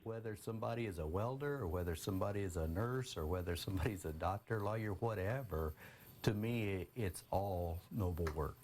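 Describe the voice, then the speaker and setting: A man's voice: sits low, fairly quick, a little mellow, a little hiss or hum. A man talking in a news interview soundbite.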